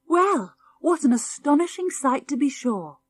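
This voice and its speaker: A woman's voice: high voice, very animated, a little slow, voice pushed up. A woman voicing a kindly, bustling farmer's wife and mother in a storybook, with a soothing, motherly manner. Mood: delighted.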